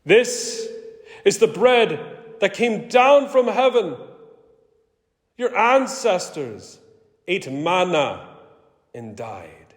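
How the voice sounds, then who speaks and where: Guy playing weighty authoritative teacher from scripture, really drawn out, pitch soaring and dropping, long echo. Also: sing-song, exaggerated voice. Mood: solemn, fervent. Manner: declamatory, weighty, deliberate. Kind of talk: dramatic scripture reading. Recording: echoey hall with long reverb.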